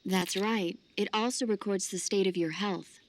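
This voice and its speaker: A woman's voice: medium pitch, fairly even intonation, normal speed, no room sound, natural voice. An actress playing a poised young female military medic in a video game, with a friendly, teasing manner. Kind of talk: video game cutscene acting.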